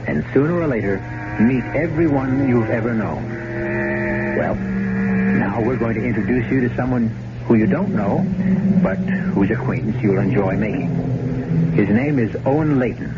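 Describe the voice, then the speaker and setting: A man hosting a radio mystery, giving an ominous scripted introduction in a deliberate, theatrical manner. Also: mid-range voice, animated, very noisy. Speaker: host and announcer. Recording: muffled vintage radio, prominent music behind.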